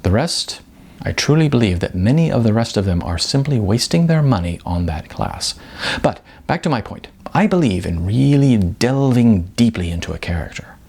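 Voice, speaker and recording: Guy doing a pompous wannabe auteur for an audiobook, super affected n stilted, gravelly, pitch all over. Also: animated, moderate pace, darkish tone, exaggerated voice. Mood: pompous, intense. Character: shy, pretentious young male amateur writer-director.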